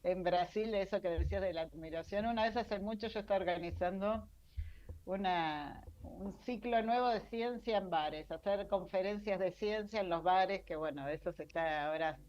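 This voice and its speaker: A woman's voice: medium pitch, normal speed, darkish tone. A middle-aged Argentine woman telling stories casually as an interview guest, self-deprecating and full of restarts. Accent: Argentine Spanish. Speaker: interview guest, science journalist. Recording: dull lo-fi sound.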